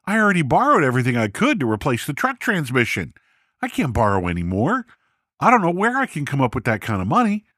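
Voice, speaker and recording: A man's voice: medium pitch, huge pitch swings, fast, fairly bright tone, no room sound. A distraught working man, put on by a male narrator, pleads in an agitated voice that swings up and down. He sounds like a self-employed contractor at the end of his rope in a podcast story, recorded dry and close. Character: distraught, panicking self-employed contractor facing a tax bill.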